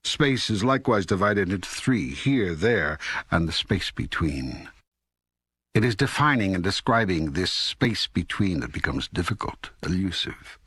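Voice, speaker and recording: An older man playing God as a calm, patient, authoritative teacher in an audiobook, speaking in a measured, deliberate way with a gravelly, husky voice. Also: deep voice, no room sound, clean studio sound, full character voice. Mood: calm, instructive. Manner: measured, deliberate, emphatic phrasing with pauses. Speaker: audiobook narrator. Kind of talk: scripted audiobook dialogue reading.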